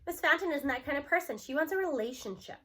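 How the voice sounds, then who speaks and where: Woman as an indignant schoolgirl, high voice. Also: expressive, fast talker, bright, forceful tone. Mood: angry. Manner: insistent, retorting. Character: indignant eighth-grade girl defending her teacher.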